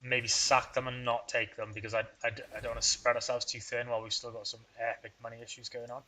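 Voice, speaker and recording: A man narrating his strategy game session in a loose, rambling commentary, talking his moves through out loud. His voice is bright and forward, sitting at an ordinary pitch, and he often pokes fun at himself. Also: little pitch movement, fast talker, rough recording. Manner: rambling, thinks aloud, self-deprecating. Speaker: let's play host. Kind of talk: casual gameplay commentary.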